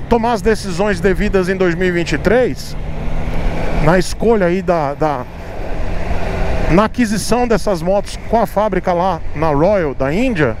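High-voiced Brazilian motovlogger in traffic. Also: male voice. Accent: Brazilian Portuguese. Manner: chatty, teasing, drawn-out emphasis with chuckles. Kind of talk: riding commentary vlog. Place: outdoors on a motorcycle in city traffic. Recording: helmet mic with road noise. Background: traffic and engine noise.

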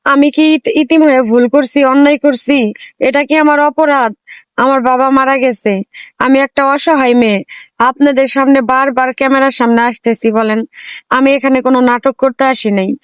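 A woman's voice: high-pitched, fairly even intonation, moderate pace, smooth, no echo. A woman gives earnest, plain-spoken, pleading answers as an interview guest. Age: 20s. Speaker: interview guest seeking marriage.

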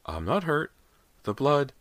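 A man's voice: fairly high pitch, very animated, moderate pace, fairly bright tone, dry recording, voice pushed up. A man voicing a frightened mother in an audiobook, shaken and urgent. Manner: trembling, urgent. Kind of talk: dramatized audiobook narration.

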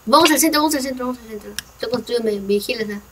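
A woman's voice: on the high side, racing. A young woman from Peru chatting and teasing casually while playing a game with a friend. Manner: playful, teasing, laughs a lot. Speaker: gaming channel host. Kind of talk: casual gameplay chat. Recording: noisy mic, voice from far.

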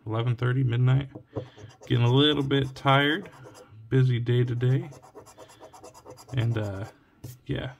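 A man's voice: mid-range voice, fairly even intonation, a little slow, creaky, long pauses, lo-fi sound. A young man from Oregon scratching lottery tickets at home late at night, talking in a tired, cracking voice as he reads each symbol aloud. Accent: Oregon US English. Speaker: scratch-ticket channel host. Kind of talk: casual narration while scratching tickets. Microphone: phone or camera looking down at the table. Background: coin scratching and clicks.

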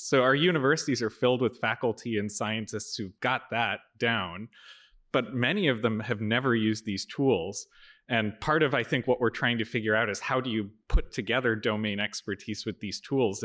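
A man's voice: medium pitch, fairly bright tone. A young man standing in a lecture hall audience, building a long question step by step into a handheld mic. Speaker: audience member asking a question.

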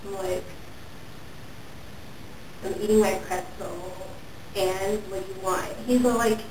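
A woman's voice: mid-range voice, steady tone, slow, a little mellow, lightly acted. Woman doing a ditzy valley-girl gossip. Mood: chatty gossip. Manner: valley-girl filler talk, says "like" constantly. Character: ditzy gossipy teen girl, mall-obsessed, easily offended. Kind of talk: comic character monologue. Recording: roomy echo, mic far off, faint hiss.

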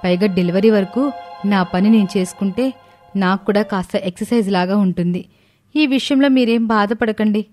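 A woman's voice: medium pitch, moderate pace, a little mellow, close to an everyday voice. Devoted young wife, sensible, reassuring, earnest, cartoon drama, acted by a woman, music behind.

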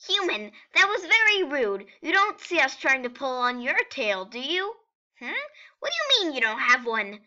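Woman voicing an earnest, excitable, high-pitched skeleton brother. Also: moderate pace, lo-fi sound, full character voice. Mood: indignant. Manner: dramatic, pleading, emphatic. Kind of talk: amateur comic dub acting.

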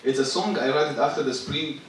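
A young man in his twenties from Syria, a rapper talking on a theater stage, halting and searching for words. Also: a little high, far from the mic, a little hiss or hum. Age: 20s. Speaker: rapper and guest artist. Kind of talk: stage talk. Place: large theater stage with live audience. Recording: echoey stage, picked up from afar.

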